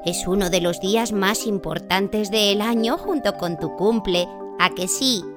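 A woman's voice: medium pitch, measured pace. A woman presenter giving a friendly, chatty scripted introduction addressed to children. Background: music.

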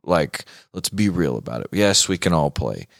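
Male talk show host, low-pitched, dry sound, informal and slangy guitar chat with emphasis. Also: very animated, moderate pace, creaky, no room sound.

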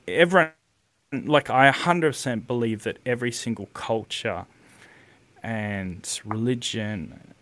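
A man's voice: medium pitch, a bit bright, no echo. A man who produces music, speaking as a guest in a casual interview, rambling and hesitant, apologizing for going off topic. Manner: rambling, hesitant, self-deprecating.

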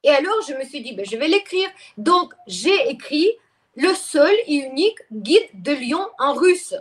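A middle-aged woman from Ukraine speaks, her being Russian. She talks at length as an interview guest, restarting her sentences often and filling pauses. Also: high-pitched, a bit bright. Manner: talkative, many restarts and fillers. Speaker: guest expert. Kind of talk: interview conversation.

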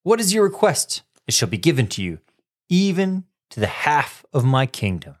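A man's voice: fairly high pitch, very animated, normal speed. A man playing a generous king in a school radio skit, reading formal lines. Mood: regal. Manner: formal, declaiming.

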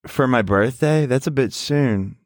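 A man's voice: mid-range voice, measured pace, no room sound, studio quality. A man in his twenties chatting casually, with a crude, profane and joking manner. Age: 20s. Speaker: podcast host. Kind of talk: casual banter, vlog chat.

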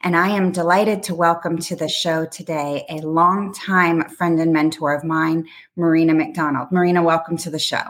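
A woman's voice: low voice, steady tone, normal speed, hardly any pauses. A woman hosting an interview, polished and friendly as she introduces her guest and asks questions. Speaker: host. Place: Atlanta, remote call.

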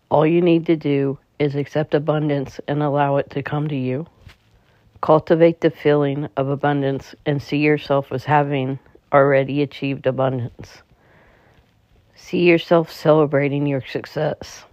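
A meditation guide speaking in a calm, slightly halting way, leading a slow guided relaxation. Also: mid-range voice, dry recording. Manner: calm, halting delivery with stumbles. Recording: dull, muffled lo-fi recording.

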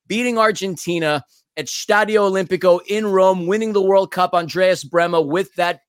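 A man's voice: high voice, dry recording, clean studio sound. A middle-aged man, co-hosting a podcast introduction in a showy, emphatic, announcer-like way. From New York City, United States. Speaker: podcast co-host.

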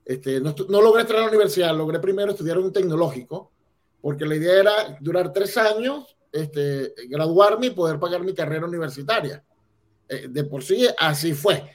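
A man's voice: on the high side, animated, brisk. Venezuelan man recounting his story. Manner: warm storytelling with many restarts and fillers. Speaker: guest, entrepreneur. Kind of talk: casual interview.

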